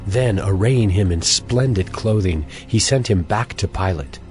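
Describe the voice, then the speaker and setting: Male narrator, lowish voice, solemn measured reading, scripture audiobook style, clear musical bed. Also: darkish tone. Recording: background music under narration.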